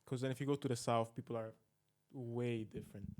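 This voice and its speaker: Mid-pitched young man, casual podcast guest. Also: steady tone, fast talker, darkish tone. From northern Italy. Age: young adult. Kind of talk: casual conversation.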